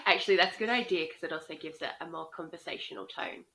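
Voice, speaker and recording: A woman's voice: low-pitched, fast talker, a bit bright, rough recording. A woman in her thirties co-hosting a podcast, joking and laughing often in casual chat. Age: 30s. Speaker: podcast co-host.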